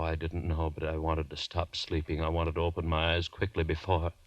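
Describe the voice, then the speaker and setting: An actor playing a haunted, desperate condemned man recounting his nightmares in theatrical, halting 1940s radio horror style. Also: low-pitched, dry recording, dull audio. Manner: theatrical, halting, feverish first-person narration. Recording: 1940s radio broadcast recording.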